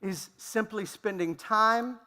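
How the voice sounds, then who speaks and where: Bright-voiced preacher in a reverberant hall. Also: male voice, high-pitched. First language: English. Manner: speaks in short phrases, pausing for interpreter. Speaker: guest preacher. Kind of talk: sermon with live interpretation. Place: church sanctuary. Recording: long church hall reverb.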